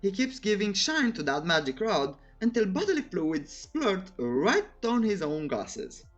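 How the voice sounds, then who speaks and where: Playful recap narrator with very animated delivery. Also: mid-range voice, measured pace, lo-fi sound, faint music behind. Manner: playful, teasing, theatrical. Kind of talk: scripted comic recap narration with humorous asides.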